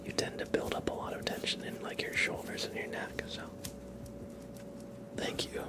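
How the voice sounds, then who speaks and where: A man whispering gently as he hosts an ASMR live stream, chatting to viewers in a breathy voice and often repeating short phrases. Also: moderate pace. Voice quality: breathy whisper with creaky edges.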